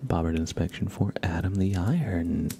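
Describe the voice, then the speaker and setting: A man speaks very softly and close to the microphone as he hosts an ASMR livestream. His voice is deep with a lot of creaky fry, often slipping into a breathy whisper. He rambles gently and casually to viewers, with a faint hiss behind him. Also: moderate pace. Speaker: livestream host.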